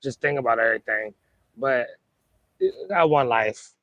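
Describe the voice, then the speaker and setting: A man of medium pitch talks casually into an earphone mic with very little room sound. He is slangy and laid-back, rambling as he thinks aloud. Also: moderate pace, fairly bright tone, no echo. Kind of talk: casual chat, slangy and profane. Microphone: earphone microphone.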